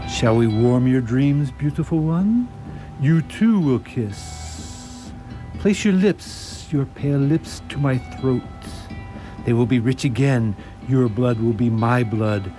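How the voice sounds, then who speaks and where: A man playing a commanding, seductive undead vampire count in a radio play, grandiose and deliberate in his menace. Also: mid-range voice, animated. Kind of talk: radio theatre acting. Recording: radio play under loud music.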